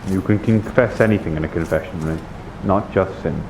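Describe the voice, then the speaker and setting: A man playing a calm, counseling abbey clergyman in a fantasy roleplay, measured and formal, over online voice chat. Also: low voice. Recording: dull, noisy online voice chat.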